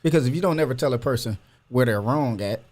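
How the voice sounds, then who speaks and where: A man's voice: medium pitch, animated, fast, a little mellow. Guy hosting a chill podcast, rambling, slangy, opinionated. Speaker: podcast host. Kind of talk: casual podcast chat.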